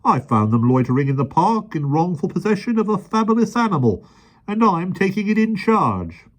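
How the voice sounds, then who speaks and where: A man reading aloud, giving a pompous policeman a loud, indignant voice. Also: high voice, very animated, moderate pace, voice pushed up. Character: blustering pompous male policeman.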